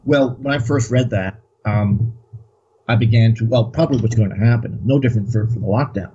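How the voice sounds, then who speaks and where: A man's voice: lowish voice, fairly quick, mellow, husky, highs cut off, soft background music. A man as a guest commentator, talking in a rambling, hesitant way with many fillers. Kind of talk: casual political discussion.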